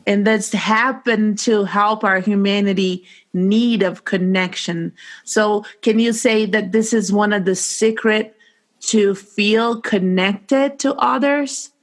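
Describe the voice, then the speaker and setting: A woman's voice: mid-range voice, steady tone, takes it slowly. A woman hosting a podcast interview, asking questions with enthusiasm. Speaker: podcast host.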